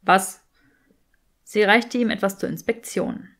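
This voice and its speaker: Woman narrating an audiobook, medium pitch. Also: moderate pace. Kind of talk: scripted fan fiction narration.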